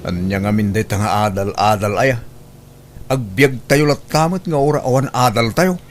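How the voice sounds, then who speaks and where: An actor as gruff, defensive Filipino husband. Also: male voice, on the low side, normal speed, a little hiss or hum, close to an everyday voice. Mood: dismissive. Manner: argumentative, dismissive. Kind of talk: Ilocano radio drama acting.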